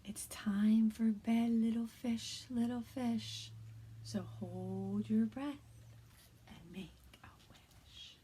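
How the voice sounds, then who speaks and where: A woman in her thirties, a kindergarten teacher, reading a bedtime picture book aloud to young children in a soft, hushed voice that often drops to a whisper. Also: fairly high pitch, steady tone, takes it slowly, slight background noise. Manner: gentle and encouraging to young children.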